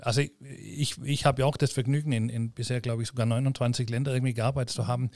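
A man's voice: mid-range voice, steady tone, fast, a little mellow, no room sound. A man hosting a podcast, asking rambling questions full of restarts and fillers. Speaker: podcast host. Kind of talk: casual interview chat.

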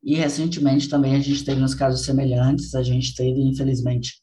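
Man, podcast host, earnest, reflective, mellow warm tone, mid pitch. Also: completely flat delivery, normal speed, slight background noise. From Bahia, Brazil. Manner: earnest, reflective, repeats for emphasis. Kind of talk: casual podcast conversation.

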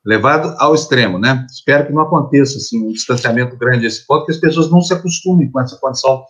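A man's voice: medium pitch, fairly bright tone. A man hosting a morning news show, chatting and joking casually with his co-hosts. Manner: chatty, joking, quick-talking. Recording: dull lo-fi sound.

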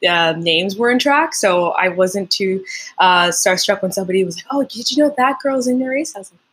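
A woman's voice: mid-range voice, animated, a little fast, fairly bright tone, slightly rough. A young woman from Canada, a native French speaker, talks in a chatty, upbeat way as a guest in an interview, using many fillers. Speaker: Olympic track athlete, guest. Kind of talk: casual interview storytelling.

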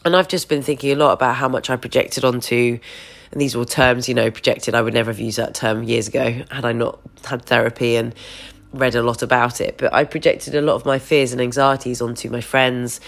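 A woman's voice: very deep voice, steady tone, brisk, vocal fry. Woman, thirties, bunged-up nose, candid podcast host, lots of fillers, phone recording on a sofa. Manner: candid, gently meandering with many fillers. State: congested, stuffy nose. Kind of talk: reflective solo podcast. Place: home sofa.

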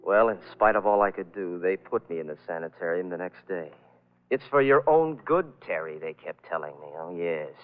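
A man's voice: deep voice, expressive, moderate pace, phone-quality sound, soft background music. Brooding young man, old radio drama. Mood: ominous, fearful. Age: young adult. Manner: brooding, dramatic, self-pitying. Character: bitter, jilted young man narrating his own downfall. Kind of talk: old-time radio thriller acting. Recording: band-limited vintage radio recording.

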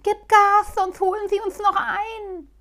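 A woman voicing a frantic, whiny little male gnome who keeps pleading in a high voice. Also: little pitch movement, a little slow, a bit bright. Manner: pleading and panicky.

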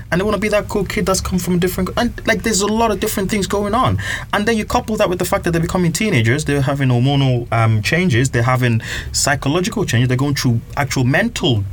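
A man's voice: sits high, a little hiss or hum. A man from Nigeria telling personal stories earnestly in a casual chat, building his points with repeated phrases. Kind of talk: reflective storytelling in casual chat.

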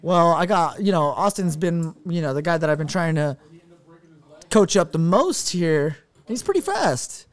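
A man with a notably high speaking voice runs a relaxed podcast with his friends. He talks loosely, curses freely and keeps breaking into laughter. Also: measured pace. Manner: loose, swears a lot, laughs often. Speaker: podcast host. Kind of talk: casual chat with friends. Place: home garage at a viewing party.

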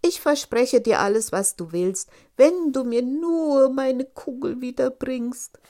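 A woman's voice: high-pitched, animated, slow, dark tone, voice pushed up. A woman voicing a spoiled young fairy tale princess, plaintive and emphatic. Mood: pleading. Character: spoiled, tearful young princess, pleading and disdainful.

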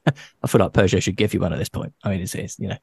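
A man hosting a podcast, chatting casually with a guest, playful and quick to laugh. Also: sits low, creaky, a little mellow, slightly rough. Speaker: podcast host. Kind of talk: casual interview chat.

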